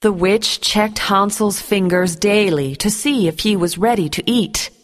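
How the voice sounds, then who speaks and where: A woman storyteller reading a scripted bedtime fairy tale for children in a measured, expressive way. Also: mid-range voice.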